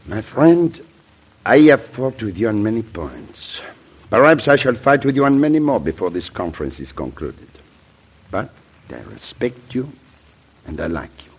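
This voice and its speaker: A man's voice: mid-range voice, breathy edge. An actor playing a shrewd, weary, cynical French statesman in a classic radio drama, formal and courtly in his delivery. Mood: warm, solemn. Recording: dull, band-limited old radio recording.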